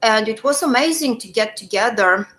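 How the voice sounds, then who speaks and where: A woman from Romania, an English speaker, answering interview questions thoughtfully over a video call, pausing with fillers and laughing easily. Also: fairly high pitch, animated, fairly bright tone. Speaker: author guest.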